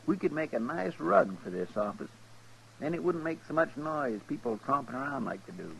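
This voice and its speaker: An actor playing an eager, naive deputy, folksy and deferential, with a drawling rural American accent in a 1950s radio western. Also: male voice, medium pitch, sing-song, moderate pace, gravelly, fairly bright tone, a little hiss or hum, low-quality recording. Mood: eager, earnest.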